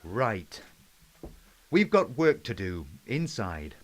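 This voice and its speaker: A man's voice: medium pitch, very animated, slow pace. A man playing a polite, folksy British Prime Minister in an amateur play, chatty and a little hesitant as he gives a public address. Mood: pleased, rallying. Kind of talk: amateur play script performance.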